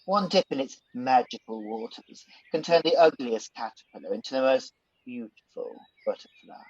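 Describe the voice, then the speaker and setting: An actor playing a scheming, smooth-talking villain who poses as a soothing conscience in a radio pantomime. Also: male voice, mid-range voice, expressive, moderate pace, a little mellow, dry recording, lo-fi sound, close to an everyday voice. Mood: persuasive, urging. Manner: smooth-talking, persuasive, insinuating.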